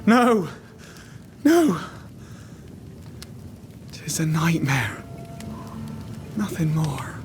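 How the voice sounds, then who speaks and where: A slow-spoken, haunted starving trapper. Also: male voice, on the high side, very animated, soft background music, exaggerated voice. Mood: troubled, guarded. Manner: rustic dialect phrasing, plain halting sentences. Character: haunted, starving male trapper, simple, devout and stubbornly loyal. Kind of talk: dramatic video game cutscene acting.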